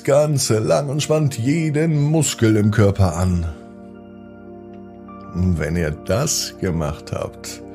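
Low-voiced man, lively bedtime storyteller. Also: gravelly. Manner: gentle, drawn-out emphasis, addressing young listeners. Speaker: children's podcast host and storyteller. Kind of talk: expressive bedtime story narration for children.

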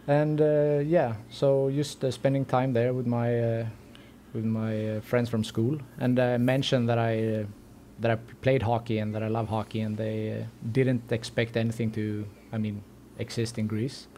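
Dark-voiced Swedish man, even delivery. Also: mid-range voice, normal speed, a little hiss or hum. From Sweden. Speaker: podcast guest. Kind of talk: casual interview answers.

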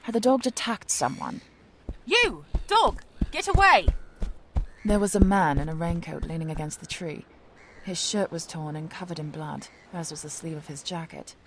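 Actress as a low-voiced, haughty young woman scientist. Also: lively intonation, moderate pace, noisy background. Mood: uneasy, then alarmed, commanding. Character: ambitious, haughty young female research scientist. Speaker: actress, lead narrator of the episode. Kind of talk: scripted audio drama, first-person narration and dialogue.

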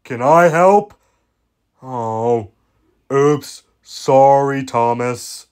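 A man voicing a clumsy, eager, apologetic construction machine in a children's cartoon, exclaiming and repeating himself in drawn-out phrases. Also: medium pitch, a little mellow, clear, resonant tone. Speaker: voice actor performing a character reel. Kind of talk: animated children's show character acting.

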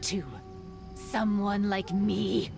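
A woman voicing an eerie, tormented young woman in a video game, halting and dramatic with an edge of menace. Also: mid-range voice, flat delivery, gravelly, music in the background. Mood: anguished, self-restraining. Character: eerie, tormented mysterious young woman resisting dark urges.

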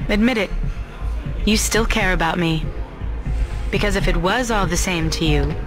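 A woman's voice: lowish voice, moderate pace, over music, lightly acted. An actress playing a manipulative woman cajoling her former lover in a video game, with a knowing, coaxing manner. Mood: provoking. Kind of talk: video game cutscene acting.

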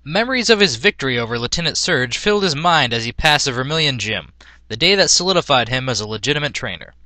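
A man's voice: mid-range voice, expressive, quick pace, sharp tone, dry recording. A man narrating a story as a theatrical dramatic reading. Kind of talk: dramatic storybook-style reading of fan fiction.

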